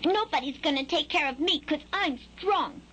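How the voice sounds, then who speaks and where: A woman's voice: very high voice, slow pace, slight background noise, pitched above the performer's own voice. A woman voicing a curious, stubborn newly hatched boy bird in a childlike storybook voice. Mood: defiant. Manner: childlike, sing-song when defiant. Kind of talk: storybook character voice.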